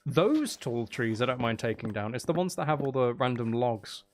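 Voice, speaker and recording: Man, medium pitch, live game streamer, casual chatter, playful dry teasing, noisy recording. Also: big pitch swings, normal speed.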